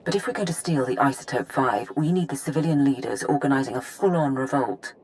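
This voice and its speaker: A woman's voice: a bass voice, a little fast, a little mellow, rough recording. A woman playing a pragmatic, businesslike Imperial intelligence officer briefing a commander in a video game. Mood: pragmatic, confiding.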